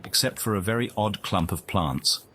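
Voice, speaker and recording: A man performing a scripted nature-documentary narration in a game, with a solemn, measured, dramatic delivery. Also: on the low side, pushed and bright, slight background noise. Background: music.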